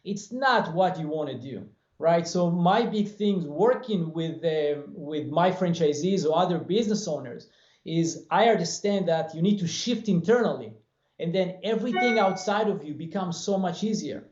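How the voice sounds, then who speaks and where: An Israeli-accented man in his forties speaks earnestly, with a motivational push, as he answers interview questions. His fairly high, mellow voice comes over a video call from a bare room, sounding echoey and muffled. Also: lively intonation, normal speed. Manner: earnest, motivational, gestures with hands. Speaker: guest, franchise business owner. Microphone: webcam on video call. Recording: roomy dull video-call audio.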